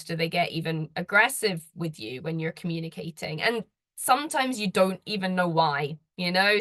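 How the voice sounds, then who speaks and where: A woman's voice: lowish voice, no room sound, clean studio sound. A woman presenting slides, talking earnestly in a conversational way and putting questions straight to the listener. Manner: gets louder when enthusiastic. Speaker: presenter giving advice.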